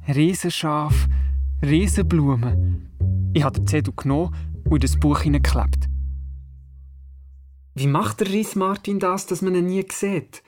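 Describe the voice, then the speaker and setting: An imaginative young grandson narrating a children's radio play. He has a Swiss German accent and a fairly high voice, earnest and eager. Music plays clearly behind him. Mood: curious, wondering. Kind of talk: first-person storytelling in children's radio play.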